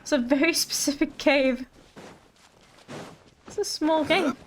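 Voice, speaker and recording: A woman's voice: sits high, steady tone, unhurried, a little hiss or hum. A woman giving casual livestream game commentary, playful and thinking aloud. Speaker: game streamer.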